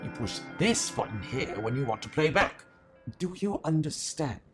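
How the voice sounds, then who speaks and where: A man's voice: fairly high pitch, big pitch swings, moderate pace, music in the background. A man voicing an eccentric, kindly but secretive older alien in an amateur radio play, speaking in a theatrical, formal, rambling way. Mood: brisk, instructive.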